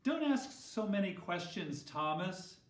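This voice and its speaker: A man's voice: high-pitched, sing-song, measured pace, pitched above the performer's own voice. A man voicing a stern, impatient male rabbi schoolteacher in a children's story, scolding emphatically. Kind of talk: children's Bible storybook reading. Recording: roomy echo, distant microphone.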